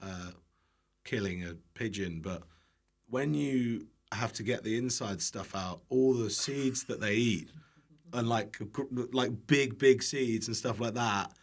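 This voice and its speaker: A man's voice: fairly low pitch, slow pace. A British man talking casually as a podcast guest, chatty and jokey with informal, loose phrasing. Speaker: podcast guest, online cooking content creator.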